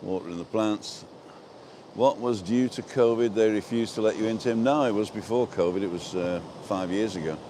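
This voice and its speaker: A British man with a low-pitched voice rambles casually through a live walking tour, slipping in dry jokes as he goes. He is recorded outdoors, with noticeable ambient noise around him. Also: moderate pace, dark tone. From born in England, lived in Wales and abroad. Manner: rambling, jokey. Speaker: travel vlogger and livestream host. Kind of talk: casual live walking-tour chat, answering viewer comments. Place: outdoors, walking along a seaside promenade. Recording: noisy outdoor street and seafront ambience.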